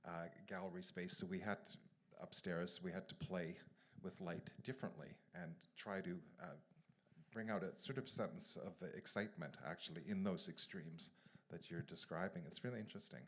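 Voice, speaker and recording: A man's voice: low voice, steady tone, normal speed, fairly bright tone. A man working as a curator, moderating a gallery conversation and explaining at length. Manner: discursive, explanatory. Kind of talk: moderating a public artist conversation. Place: gallery event space.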